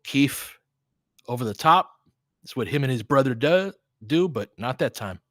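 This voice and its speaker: American man, bright-voiced casual basketball commentator. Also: mid-range voice, expressive, unhurried, no echo, clean studio sound. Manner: informal, conversational, opinionated. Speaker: play-by-play host of a fan stream. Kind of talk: basketball play-by-play mixed with casual fan chat.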